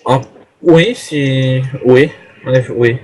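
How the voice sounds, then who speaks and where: Male prank caller posing as a guest, halting and rambling, improvised feel, noisy clicking phone recording. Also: mid-range voice, a little mellow. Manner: hesitant, rambling.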